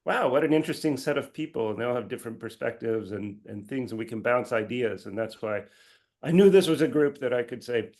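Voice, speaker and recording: A man's voice: mid-range voice, a little fast, darkish tone. A man answering comments as a presenter on a video call, speaking in an informal, conversational academic style with restarts and quoted asides.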